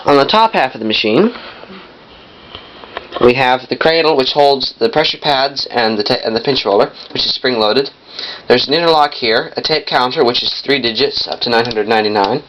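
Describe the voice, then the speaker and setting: A young man giving a casual hands-on demonstration in a halting, piecemeal way, often correcting himself. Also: medium pitch, expressive, fairly bright tone. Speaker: vintage audio collector demonstrating a machine. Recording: muffled lo-fi camcorder sound, noisy.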